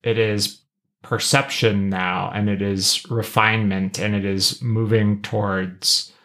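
A man's voice: fairly low pitch, little pitch movement, slow pace, a bit bright. A man co-hosting a podcast, talking through his analysis casually in a thoughtful, explanatory manner. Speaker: podcast co-host.

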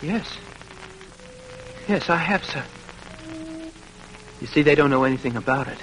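An actor playing a brave, resolute young condemned soldier, earnest and polite, in old-time radio drama style. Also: male voice, fairly high pitch, expressive, unhurried, a bit bright. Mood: firm, respectful. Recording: noisy vintage radio drama recording.

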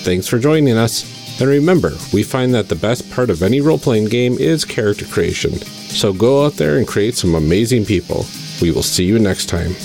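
A man's voice: on the low side, lively intonation, normal speed, vocal fry, darkish tone, faint music behind. A man hosting a podcast, talking in an easy, informal presenting style. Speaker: podcast host. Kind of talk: casual chat and scripted show outro.

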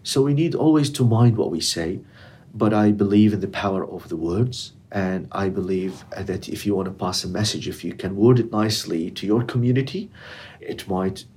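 A man's voice: on the low side, normal speed, a little mellow, breathy edge. A middle-aged man whose first language is Arabic, speaking earnestly in a casual interview with non-native English grammar. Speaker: interviewee, community educator.

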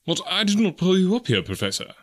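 A man's voice: high-pitched, big pitch swings, fast talker, voice pushed up. A man voicing a prim, anxious old secretary in an audiobook story, formal and earnest. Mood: earnest, flustered. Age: older.

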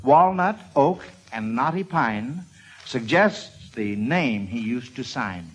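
A man's voice: medium pitch, animated, slow pace. A man hosting an old-time radio quiz for children, speaking with hesitations in a vintage broadcast recording. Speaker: quiz show host.

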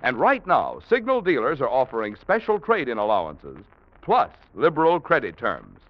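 A man's voice: mid-range voice, wildly swooping pitch, normal speed. An American man as a 1940s radio announcer, reading a scripted sponsor message with polished, emphatic salesmanship. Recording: dull old radio recording.

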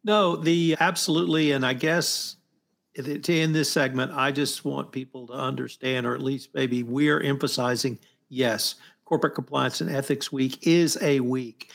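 A man hosting a podcast interview, speaking in measured, emphatic phrases. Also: mid-range voice, steady tone, gravelly, a bit bright. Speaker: podcast host. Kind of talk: interview talk, summing up.

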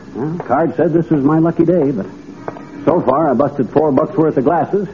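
A man's voice: fairly high pitch, measured pace, narrow, tinny audio, soft background music, natural voice. An American man acting a folksy, cheerfully obtuse small-town wisecracker in an old-time radio comedy, with slangy, teasing talk. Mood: ruefully jaunty. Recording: noisy, phone-thin old radio recording.

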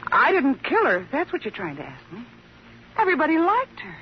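Weary, protective wife, very animated. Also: female voice, high voice, a little fast. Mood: guarded. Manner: coaxing, patient, guarded. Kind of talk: old-time radio drama acting. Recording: muffled, noisy vintage radio recording.

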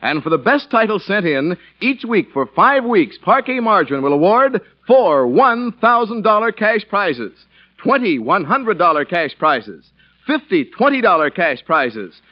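A man announcing in scripted 1940s radio style, polished and emphatic. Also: sits high. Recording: muffled vintage radio recording.

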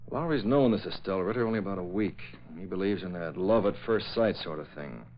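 An actor playing a thoughtful, suspicious publisher and secret crimefighter in a 1940s radio drama, reasoning in a measured way. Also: male voice, sits low, a little hiss or hum, close to an everyday voice. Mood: suspicious, musing. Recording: muffled old radio broadcast.

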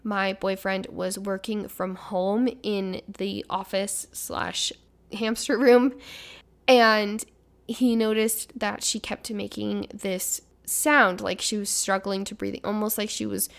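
A woman's voice: mid-range voice, flat delivery, slow, gravelly. A woman hosting a casual podcast, chatty and upbeat, her voice a little stuffy as she gets over the flu. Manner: chatty and upbeat, rambling storyteller. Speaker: podcast host.

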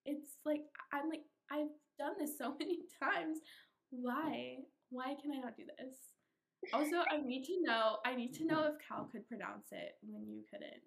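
A woman's voice: high voice, a little slow, vocal fry. A young woman hosting a podcast in a casual chat, talking in a self-deprecating way and often laughing through her sentences. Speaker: podcast host.

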